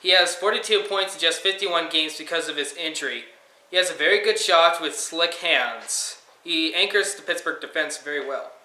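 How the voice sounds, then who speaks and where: A man's voice: a little high, normal speed, sharp tone. A teenage boy co-hosting a hockey chat, teasing and cutting in with goofy remarks. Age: teens. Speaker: co-host. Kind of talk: casual chat. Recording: echoey room, far from mic.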